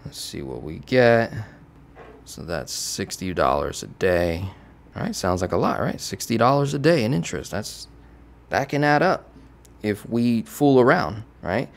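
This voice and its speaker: Young male finance coach, lowish voice, conversational teaching on a studio mic. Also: big pitch swings, measured pace, vocal fry. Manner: casual, conversational, keeps asking "right?". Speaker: finance educator. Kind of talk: whiteboard finance lesson. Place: home studio with lights. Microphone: boom-arm studio mic.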